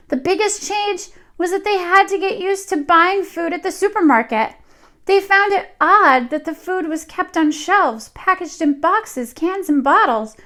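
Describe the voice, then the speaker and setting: A woman reading aloud to young children in an expressive storytelling style, with dramatic emphasis. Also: high voice. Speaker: children's story reader, teacher.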